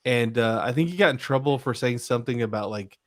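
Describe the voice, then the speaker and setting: A man's voice: medium pitch, fast. Rambling middle-aged man, casual podcast chat. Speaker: podcast co-host.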